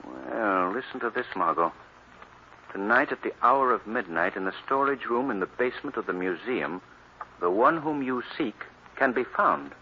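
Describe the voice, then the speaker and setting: Male actor as urbane, decisive amateur detective, very expressive pitch, on dull, slightly noisy vintage radio. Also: moderate pace, natural voice. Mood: thoughtful. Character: decisive, analytical male gentleman sleuth. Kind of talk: 1940s radio mystery acting.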